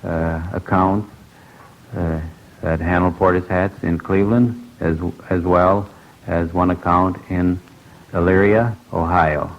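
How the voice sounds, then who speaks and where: A man's voice: low voice, steady tone, slight background noise. An American man giving a business witness statement, very hesitant, with frequent uhs. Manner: very halting, hesitant, many uhs. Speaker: hat company representative. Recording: muffled old radio recording.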